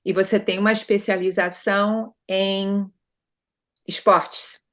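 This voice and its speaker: A woman's voice: mid-range voice, little pitch movement, takes it slowly. A middle-aged Brazilian woman consultant speaking conversationally in an interview, often adding tag questions and restarting her sentences. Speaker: consultant guest.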